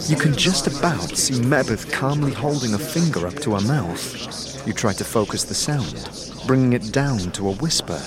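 A man's voice: mid-range voice, lively intonation, moderate pace, crowd noise. A man reading scripted narration for a fantasy audio drama. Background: music.

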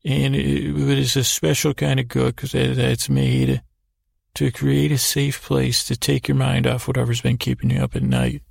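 A man hosting a podcast as a meandering, halting bedtime monologue, often trailing off and doubling back with self-deprecating, absurd humour. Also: medium pitch, flat delivery, darkish tone, no room sound. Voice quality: creaky, gravelly. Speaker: podcast host.

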